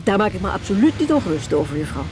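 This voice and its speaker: A woman's voice: medium pitch, sing-song, very fast, noisy background, natural voice. An actress in a Dutch radio drama playing a curt, stubborn working mother who defiantly guards her son. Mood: proud, defiant. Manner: brusque, stubborn, dismissive.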